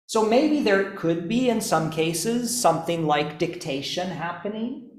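A man teaching a class, explaining slowly and deliberately with pauses. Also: high voice, lively intonation. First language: not English, also speaks Estonian. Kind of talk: classroom lecture. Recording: echoey room.

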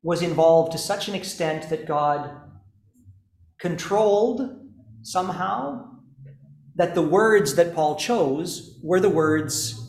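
A man's voice: on the high side, slow, long pauses, darkish tone. A man teaching a classroom lecture. He explains each point methodically, step by step, with examples. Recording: echoey classroom.

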